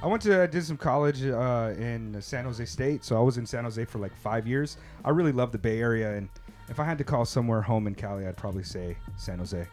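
A man's voice: mid-range voice, a little fast, faint music behind. Easygoing man hosting a casual interview. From California. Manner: relaxed, filler-heavy, says "like" a lot. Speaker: podcast host.

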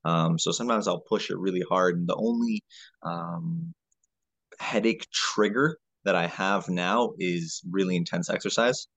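A man, a health coach answering as a podcast guest in a halting, conversational way, often repeating words. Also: deep voice, a bit bright, no room sound.

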